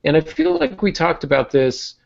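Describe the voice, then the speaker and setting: Male podcast host, creaky fry in the voice, explanatory and self-deprecating, slightly dull lo-fi sound. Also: on the high side, wildly swooping pitch, normal speed. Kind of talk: casual podcast talk.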